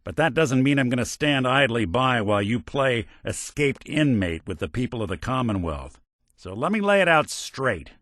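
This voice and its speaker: A man's voice: medium pitch, big pitch swings, moderate pace, fairly bright tone, no echo, clean studio sound. An actor voicing a world-weary male synth detective in a video game, a robot carrying an old cop's memories, talking in a hard-boiled drawl. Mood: stern. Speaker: companion character.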